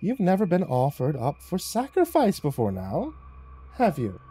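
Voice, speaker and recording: A wicked demon cat in a dark storybook tale. The voice is pitched high and teases theatrically, with a cruel, mocking edge. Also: male voice, huge pitch swings, a little slow, breathy edge, heavily acted. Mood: gleeful, taunting. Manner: taunting, theatrical, profane. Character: mocking, sadistic devil in the shape of a cat.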